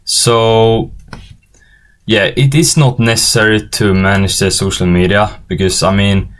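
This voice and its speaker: Teenage male tutorial presenter, native Swedish speaker with Swedish accent, lowish pitch, informal and hesitant delivery. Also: level tone, a little slow, fairly bright tone. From Sweden. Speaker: online business course instructor.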